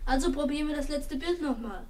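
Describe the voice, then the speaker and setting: A woman's voice: high voice, fast talker, a little mellow, lightly acted. A woman playing a bossy, articulate schoolboy poet in a distant, noisy 1950s radio recording. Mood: brisk, bossy. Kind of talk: 1950s German radio play acting.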